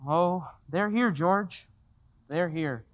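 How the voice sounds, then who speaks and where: A performer playing a gentle wise guardian angel. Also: mid-range voice, extremely slow, highs cut off. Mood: solemn. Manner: calm, gently instructive.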